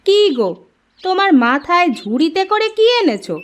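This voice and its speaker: A woman voicing a sharp-tongued village housewife in a cartoon, with a theatrical manner. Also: very high voice, very animated, normal speed. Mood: scornful, nagging. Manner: scolding, theatrical. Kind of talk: Bengali cartoon voice acting.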